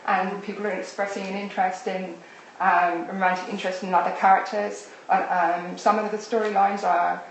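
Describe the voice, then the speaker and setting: A woman's voice: mid-range voice, level tone, normal speed, vocal fry, muffled recording. Woman interviewer in a very echoey room. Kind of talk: live interview, conversational questions. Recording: very echoey, distant mic, faint noise.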